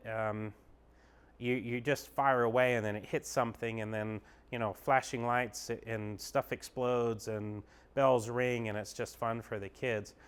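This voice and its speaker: A man's voice: medium pitch, clear, resonant tone. A man giving a casual, upbeat walkthrough of an indoor golf simulator bay, explaining each screen as he clicks through it. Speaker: golf pro giving a tour.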